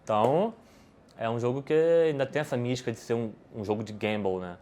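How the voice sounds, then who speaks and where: A man's voice: mid-range voice, brisk, warm tone, a little hiss or hum. A Brazilian man, a podcast guest, answering questions in casual talk, rambling with many restarts and tag questions. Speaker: podcast guest, poker player.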